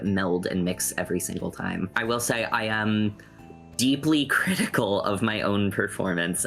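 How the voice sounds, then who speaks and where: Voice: low voice, a bit bright. An actor talking effusively in a casual group chat, speaking loosely and informally. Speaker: actor on the show. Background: background music.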